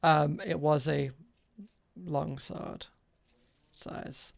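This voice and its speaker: A man narrating an improvised tabletop game as game master, halting and pausing between short phrases. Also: fairly high pitch, steady tone, vocal fry.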